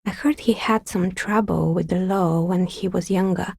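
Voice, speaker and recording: A calm, wry artisan woman in a video game, a maker of novelty dice. Her voice is breathy and husky with a gravelly creak, captured close and dry. She talks in a measured, understated way. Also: on the low side, no room sound. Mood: reflective, explaining. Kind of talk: video game character dialogue.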